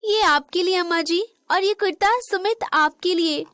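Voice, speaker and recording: A woman voicing a gentle, dutiful young Indian daughter-in-law in an animated moral story. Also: very high-pitched, normal speed, no room sound, clean studio sound. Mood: earnest.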